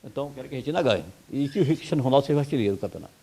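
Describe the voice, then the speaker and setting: A man's voice: mid-range voice, animated, creaky, mellow. A man from Brazil, a football coach, giving blunt, heated opinions in an interview. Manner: blunt, heated, rapid-fire complaints.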